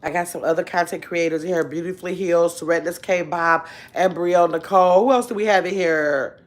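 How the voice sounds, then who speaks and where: A woman in her fifties, chatting casually on a livestream from her bedroom, reading viewer comments aloud in an animated, talkative way. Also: fairly low pitch. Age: 50s. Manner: chatty, animated, drawn-out exclamations. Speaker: livestream host. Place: bedroom at home, indoors. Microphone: phone held close at arm's length.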